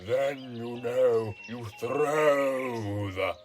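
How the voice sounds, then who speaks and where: Male actor as a sad old-fashioned storybook turtle, sighing, dragging every word out, faint music behind. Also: mid-range voice. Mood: wistful. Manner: slow, drawn-out, sorrowful delivery. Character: mournful, sighing male Mock Turtle creature. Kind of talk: scripted audiobook character acting.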